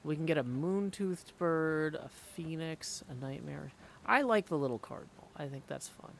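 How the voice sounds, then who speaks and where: A man talks casually to his livestream audience in a creaky voice. He rambles from one small thing to the next, and faint noise sits behind him. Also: on the high side, moderate pace. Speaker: streamer hosting a live game stream.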